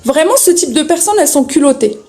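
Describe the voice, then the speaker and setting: A woman hosting a live stream debate, speaking in a sharp, sarcastic, dismissive way. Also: high voice, lively intonation, quick pace.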